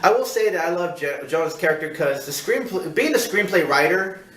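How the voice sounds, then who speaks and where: A man hosting a casual film review, talking in a rambling, halting way and repeating himself as he searches for words. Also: high voice, animated, fairly bright tone. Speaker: film review show host. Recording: echoey room, distant mic.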